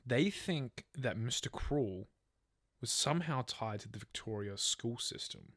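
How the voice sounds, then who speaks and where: A man's voice: mid-range voice, lively intonation, measured pace, fairly bright tone, no room sound, studio quality. A man co-hosting a true crime podcast, telling a case from notes in casual language, stumbling and correcting himself. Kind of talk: semi-scripted case narration with casual chat.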